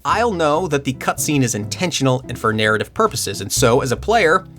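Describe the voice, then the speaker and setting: A man presenting a scripted video essay about game usability, with dry humor and excited asides. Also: medium pitch, brisk, faint music behind. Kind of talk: scripted commentary with jokes.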